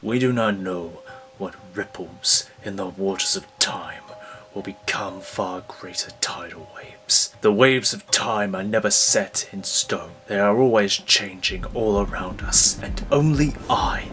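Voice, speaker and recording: A man voicing a cold, calculating supervillain in an audio drama, speaking low and hushed with slow, ominous deliberation. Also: faint music behind. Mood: brooding menace. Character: cold, calculating, menacing male time-manipulating supervillain. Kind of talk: audio drama villain monologue.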